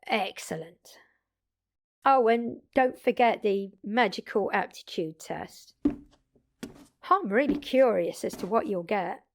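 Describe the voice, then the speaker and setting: A confident female vampire, acted by a woman, speaks at a medium pitch. She explains the magical world like a seasoned mentor, chatty and wry, talking straight to one listener in an intimate audio drama. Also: slow pace. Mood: brisk, upbeat. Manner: chatty, informal explaining with occasional swearing.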